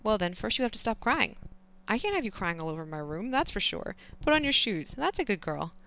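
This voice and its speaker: A woman's voice: mid-range voice, sing-song, a little fast, bright, forceful tone. A woman voicing a teasing, doting elderly grandfather in an audiobook, coaxing and playful. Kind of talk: audiobook character reading.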